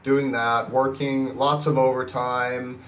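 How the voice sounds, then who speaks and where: A man's voice: medium pitch, level tone, takes it slowly, a bit bright. A man in his twenties, sick and talking with a nasal, scratchy voice, in an upbeat, rambling way as he tells his story in a casual vlog. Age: 20s. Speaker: personal finance vlogger. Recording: roomy echo, distant mic, faint guitar music.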